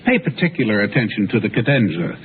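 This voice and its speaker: A man's voice: on the low side, sing-song, quick pace. Male host on tinny old radio. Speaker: classical music radio host. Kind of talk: scripted vintage radio presenting. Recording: tinny, noisy vintage radio broadcast.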